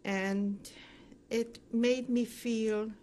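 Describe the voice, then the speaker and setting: An older woman recounting her memories as a survivor in an oral history interview, speaking haltingly with frequent pauses mid-sentence. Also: on the high side, steady tone, mellow, a little hiss or hum. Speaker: Holocaust survivor giving testimony.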